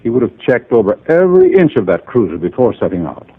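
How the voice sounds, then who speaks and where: An actor playing a precise, self-assured inventor in a 1950s radio drama, measured and a little formal. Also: male voice, on the low side, very animated, slight background noise. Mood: composed. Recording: lo-fi old radio broadcast.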